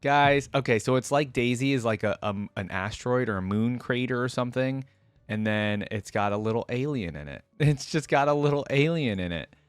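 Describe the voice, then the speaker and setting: A man's voice: medium pitch, normal speed, clear, resonant tone, no room sound. A man hosting a reaction video in a casual, enthusiastic, informal way, commenting and reading aloud.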